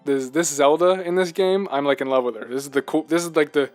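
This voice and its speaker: A man's voice: on the high side, fast, no room sound, soft background music. A man co-hosting a casual video game chat, gushing with enthusiasm and rambling from thought to thought. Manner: rambling, jumps between thoughts. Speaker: podcast host.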